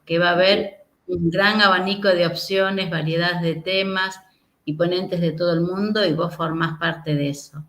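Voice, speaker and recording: A woman's voice: on the low side, steady tone, normal speed, a bit bright. A woman with a South American voseo Spanish accent, hosting an interview and putting questions to a guest. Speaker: interviewer, conference host. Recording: echoey room sound.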